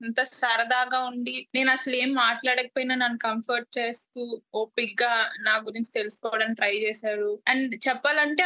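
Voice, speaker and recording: Bright, high-voiced young woman telling her story. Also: dry recording, low-quality recording. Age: young adult. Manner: earnest, recounts dialogue as she goes. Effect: voice morphed for anonymity. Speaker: podcast guest. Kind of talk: personal storytelling in casual conversation.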